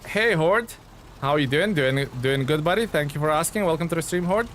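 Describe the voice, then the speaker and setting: A man from Lithuania, a game streamer chatting casually with viewers in a relaxed, rambling way. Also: on the high side, a little fast, slight background noise. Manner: relaxed, chatty, many fillers and restarts. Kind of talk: casual live-stream chat reading viewer comments.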